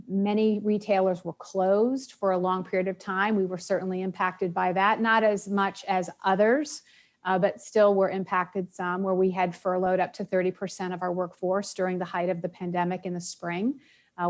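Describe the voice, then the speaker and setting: A woman's voice: on the low side, level tone, normal speed. Conversational woman executive. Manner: conversational, many fillers. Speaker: retail executive. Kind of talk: panel discussion.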